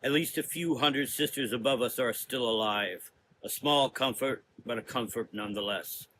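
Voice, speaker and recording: A man playing a mysterious, formal and quietly menacing dark antihero in a gothic audio drama, speaking in measured phrases. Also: mid-range voice, slight background noise, close to an everyday voice, rough recording. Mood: grave.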